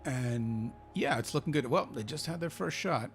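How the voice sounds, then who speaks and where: A man's voice: mid-range voice, animated, normal speed, faint music behind. A man with an Icelandic accent, hosting a gaming channel and giving a chatty, think-aloud commentary as he plays a football manager game. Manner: chatty, thinking aloud, cheering his team on.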